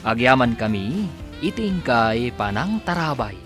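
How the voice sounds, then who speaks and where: Filipino male radio serial narrator, mid-pitched, very animated intonation, music bed underneath. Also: a little slow. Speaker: radio drama narrator and announcer. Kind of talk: scripted radio drama narration.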